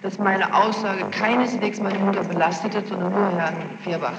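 A woman's voice: medium pitch, fairly even intonation, moderate pace, creaky. A young woman giving spontaneous testimony as a witness, explaining herself defensively and insistently. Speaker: witness under questioning. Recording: noisy, muffled archival tape, echoey hall.

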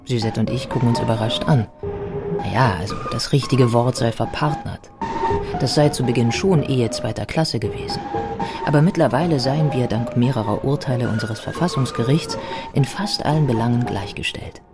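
Low-voiced literary biographer over music. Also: female voice, moderate pace. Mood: personal, wry. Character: thoughtful modern female biographer narrating her research. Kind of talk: scripted literary narration in a radio play.

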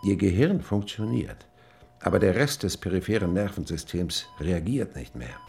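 A grave doctor in a radio drama. He is deep and creaky, with animated pitch and deliberate pauses between phrases. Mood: grave, careful. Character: grave male doctor gently breaking terrible news.

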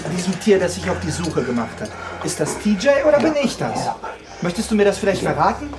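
Erratic, animated agitated woman boss. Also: lowish voice, fast talker, background noise. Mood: suspicious, agitated. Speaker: actor in a radio play. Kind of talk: dramatic German radio play monologue.

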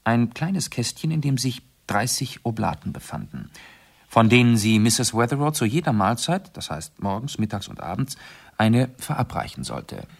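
Urbane gravelly gentleman sleuth. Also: medium pitch, expressive, moderate pace. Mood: composed, satisfied. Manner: precise, theatrical, savouring his explanations. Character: urbane, self-assured male amateur sleuth and aristocrat. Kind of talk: German radio play acting.

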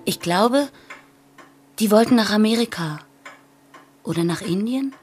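An actress playing a reflective sister with a husky voice, reminiscing plainly in a radio play. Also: female voice, mid-range voice, expressive, a little slow, a little mellow, faint music behind, lightly acted.

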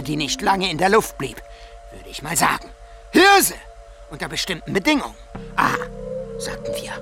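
Desperate drowned wanderer, higher male voice, very animated, insistent and halting, radio drama, music behind. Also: gravelly, exaggerated voice. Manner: halting, fragmented, rambling recitation, sometimes dropping to whisper. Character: insistent, desperate drowned wanderer demanding to tell his story. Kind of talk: German radio play acting.

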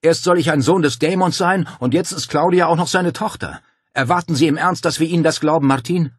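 A man voicing a furious, defiant young man with loud, indignant challenges in an audiobook drama. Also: medium pitch, lively intonation, moderate pace, husky, dry recording, studio quality, pitched above the performer's own voice.

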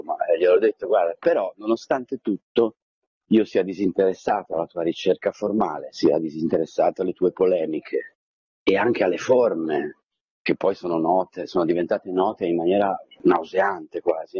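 Husky deep-voiced man. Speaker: theatre director being interviewed. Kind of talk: conversational interview, reflective storytelling. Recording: dull, lo-fi, dry.

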